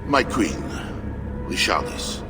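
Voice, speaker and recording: A scornful, imperious Frankish king for a game drama. The voice is bottomlessly low yet swings widely in pitch with theatrical swells. He takes his words very slowly and with zealous weight. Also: sing-song, over music, heavily acted. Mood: cool, calculating. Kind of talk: video game cutscene acting.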